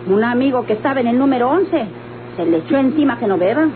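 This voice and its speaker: A woman's voice: a little high, expressive, fast, natural voice. An actress playing a humble, hard-working Mexican motel keeper and mother in an old radio drama, plain-spoken, hesitant and resigned. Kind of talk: old radio-novela acting. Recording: noisy, humming, tinny old radio recording.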